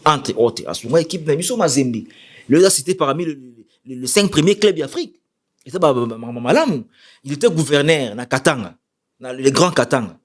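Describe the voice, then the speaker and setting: A man's voice: fairly high pitch, lively intonation, fairly quick. A man with a Congolese accent giving political commentary in an interview, explaining emphatically and repeating his key points. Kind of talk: political interview, mixing French and Lingala.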